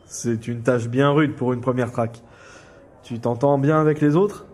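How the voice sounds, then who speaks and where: An actor playing a patronizing, prying older uncle in politics, in a French audio drama, with probing, hesitant delivery. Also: male voice, mid-range voice, steady tone, moderate pace, a little mellow, close to an everyday voice. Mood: probing, concerned.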